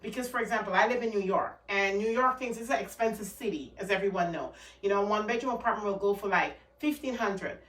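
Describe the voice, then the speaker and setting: A woman's voice: medium pitch, fairly quick, hardly any pauses, a bit bright, recorded from a distance. A woman from Guyana with a Guyanese accent, a channel host giving earnest, emphatic money advice to camera. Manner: earnest, repeats points, emphatic. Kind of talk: casual talk-to-camera advice. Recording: echoey room, off the mic.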